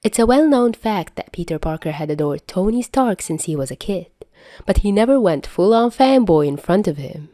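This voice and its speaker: A woman's voice: medium pitch, expressive, normal speed, dark tone, no echo. A woman reading scripted fiction aloud as the narrator of an audio story. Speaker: podfic narrator.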